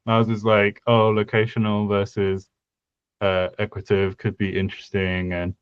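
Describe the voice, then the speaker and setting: A man's voice: on the low side, completely flat delivery, moderate pace, smooth, no echo, lo-fi sound. A young man co-hosting a casual podcast about language design, thinking aloud with many fillers and restarts. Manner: hesitant, lots of fillers and restarts. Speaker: podcast co-host. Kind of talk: casual conlang design discussion.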